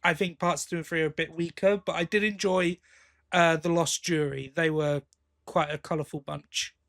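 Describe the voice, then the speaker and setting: A man co-hosting a podcast, giving a casual review in a halting way, thinking aloud as he goes. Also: fairly high pitch, fairly even intonation, fairly bright tone. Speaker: podcast co-host.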